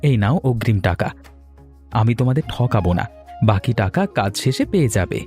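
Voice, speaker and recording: A man playing a polite admiring customer, soft mellow tone, earnest, cartoon story, faint background music. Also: on the low side, lively intonation, normal speed, natural voice. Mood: admiring, trusting. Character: friendly, appreciative male flower customer. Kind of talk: cartoon moral story voice acting. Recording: music under the voice.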